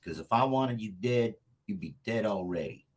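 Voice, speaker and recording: A man in a script table read playing a brash, streetwise agent out for revenge, talking slangy and cocky with a husky voice. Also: lowish voice, expressive, moderate pace. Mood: vengeful, stubborn. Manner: slangy, profane, stubborn, cocky. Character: brash, vengeful, hard-drinking lone agent, male, streetwise. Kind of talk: virtual table read of a comedy screenplay.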